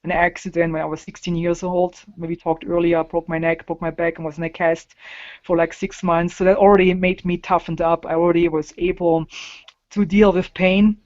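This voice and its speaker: A woman with a German accent, a native German speaker, answering interview questions casually in a halting way, often repeating words and using non-native phrasing. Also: on the low side, little pitch movement, a little mellow, lo-fi sound. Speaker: interview guest.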